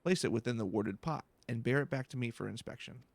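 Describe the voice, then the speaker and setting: A man voicing a self-important, florid student researcher in a video game, theatrical and excitable. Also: mid-range voice, fast talker, creaky, no room sound. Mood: solemn advising. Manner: grandiose, alliterative, theatrical. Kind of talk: video game dialogue read aloud.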